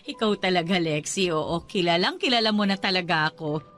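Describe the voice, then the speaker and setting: A woman playing a warm, encouraging school owner in a radio drama, speaking in an affectionate, effusive, teacherly way. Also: fairly low pitch, brisk, soft background music, lightly acted. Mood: amused, affectionate. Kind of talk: radio drama dialogue in Taglish.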